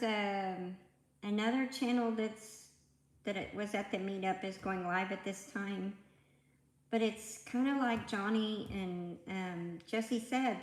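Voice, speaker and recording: A soft-spoken woman in her fifties with a slightly raspy voice chats unhurriedly with viewers on her livestream. She takes her time and wanders from topic to topic. The sound comes through a tablet mic in an echoey room. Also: mid-range voice. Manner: chatty and rambling, greeting viewers by name. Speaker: livestream host. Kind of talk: casual livestream chat with viewers. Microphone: tablet microphone.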